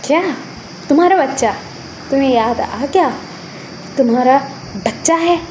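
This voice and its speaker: A woman voicing a stubborn, protective father who is anguished and quick to anger, asking insistent accusing questions in a story. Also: high voice, a little mellow, a little hiss or hum, poor audio. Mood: bitter, confrontational.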